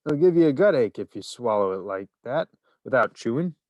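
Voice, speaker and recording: A man voicing a fat, excitable middle-aged male shopkeeper who shrieks with excitement in a storybook reading. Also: mid-range voice, brisk, no echo, highs cut off, lightly acted. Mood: friendly warning.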